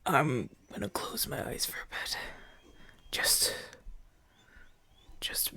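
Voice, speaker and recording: Breathy, husky wounded gladiator played by a man. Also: a little high, very animated, sharp tone, dry recording, whispered, heavily acted. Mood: weary, gruff. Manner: breathy, halting, weary delivery with gruff bluster. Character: wounded male gladiator champion, brash, proud, trusting his doctor. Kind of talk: ASMR audio roleplay.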